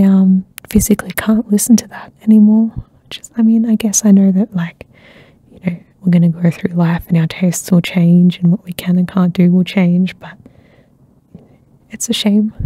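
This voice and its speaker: Soft-spoken woman, husky, half-whispering. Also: medium pitch, no echo. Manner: soft-spoken, slips into whispers, many fillers. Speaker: ASMR channel host. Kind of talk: soft-spoken rambling catch-up chat. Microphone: close ASMR microphone.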